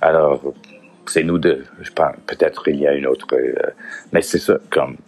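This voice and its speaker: Man, very low voice, slow unhurried delivery, halting, searching for words, casual podcast talk. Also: steady tone, a little mellow. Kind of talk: casual solo podcast monologue.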